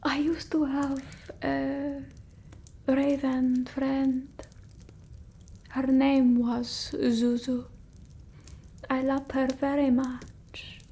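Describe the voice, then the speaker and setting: A woman voicing an eccentric centuries-old female vampire in an ASMR roleplay, speaking softly and often whispering, close to the mic. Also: high voice, little pitch movement, a little hiss or hum, heavily acted. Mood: wistful. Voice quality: soft, breathy, often whispered. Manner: halting, theatrical, with quaint phrasing. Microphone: close ASMR microphone.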